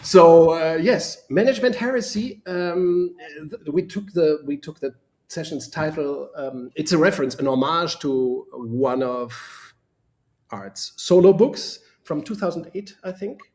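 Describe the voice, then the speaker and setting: A man's voice: a little high, a little slow, a little mellow. A man with a German accent, a native German speaker, co-hosting a casual live discussion in an enthusiastic, rambling way that often repeats words. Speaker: co-host.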